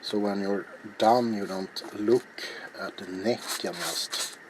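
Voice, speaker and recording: Slow, low-voiced man narrating crafts. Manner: rambling, halting, repeats words. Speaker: host of a craft video channel. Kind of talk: casual hushed ASMR craft demonstration. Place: small cluttered indoor workshop. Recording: noisy room ambience.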